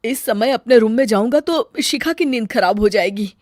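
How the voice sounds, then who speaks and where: Woman voicing a young excitable husband. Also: a little high, moderate pace, no room sound, studio quality, close to an everyday voice. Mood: frightened. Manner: loud, excitable. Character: young husband, cheerful then frightened.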